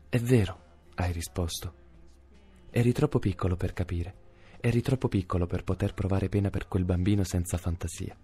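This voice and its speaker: A man's voice: low-pitched, dark tone, breathy edge. A man in a radio drama voicing a young man's own courage, reading reproachful, intimate letters addressed to him.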